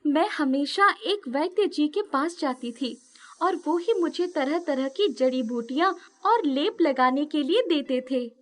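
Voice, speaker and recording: A woman acts out a hunchbacked former dancer in a moral tale. She is sweet-spoken and high in pitch, telling things slowly with gentle, reflective wisdom. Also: expressive.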